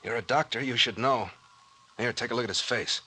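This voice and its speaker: An actor with an American accent playing a cool, commanding captain in an old-time radio mystery serial, matter-of-fact in manner. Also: male voice, mid-range voice, fairly quick, bright, forceful tone. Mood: grim, matter-of-fact. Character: cool, commanding male police-style captain, the mayor's son. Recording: faintly noisy old-time radio recording.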